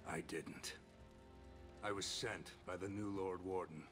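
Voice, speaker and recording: An actor playing a grim veteran knight in a video game cutscene, grave and resigned. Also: male voice, low voice, fairly even intonation, slow pace, fairly bright tone. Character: grim, war-weary veteran male knight. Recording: background music under the dialogue.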